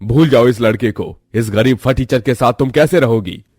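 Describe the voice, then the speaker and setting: A man's voice: mid-range voice, normal speed, darkish tone, breathy edge, dry recording, clean studio sound, pitched below the performer's own voice, natural voice. Man doing a furious rich father. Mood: angry. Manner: scolding, contemptuous. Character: stern rich father, angry, older man.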